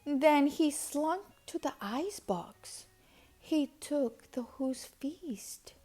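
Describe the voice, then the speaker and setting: A woman reading a children's storybook aloud in an expressive, deliberate, dramatic way, hushed at times. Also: sits high, soft background music. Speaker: storybook reader.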